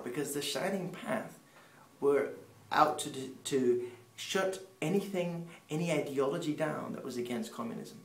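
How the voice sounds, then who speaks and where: A man in his thirties from Peru, with an English accent tinged by Peruvian Spanish, unhurriedly telling a family faith story with pauses and restarts. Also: on the high side. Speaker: speaker sharing family testimony. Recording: roomy sound from a distant mic.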